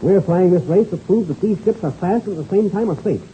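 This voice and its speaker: An actor in an old-time radio serial playing a stern, principled race pilot and leader, firm and lecturing in manner. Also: male voice, sits high, brisk, natural voice. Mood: stern, scolding. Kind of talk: 1930s radio serial acting. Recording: muffled old radio recording, faint noise.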